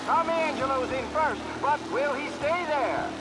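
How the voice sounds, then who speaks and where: Casting: a hyped race announcer, very high voice, loud engines underneath. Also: male voice, unhurried, very noisy. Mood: excited. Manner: hyped, crowd-pleasing. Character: excitable male race announcer. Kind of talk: commentary.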